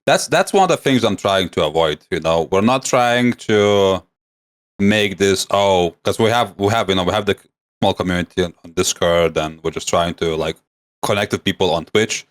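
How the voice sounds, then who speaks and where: Man, Lithuanian-mixed English accent, deep voice, rambly podcast guest. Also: moderate pace. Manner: rambling, many fillers and restarts. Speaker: guest, streamer. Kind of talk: casual podcast chat.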